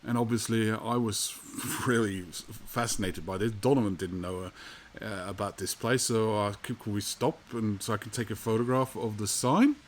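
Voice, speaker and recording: A man from Norway with a Norwegian accent, telling a travel story in a rambling, halting way with many fillers. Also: lowish voice. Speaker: vinyl collector vlogger. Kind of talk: casual storytelling monologue.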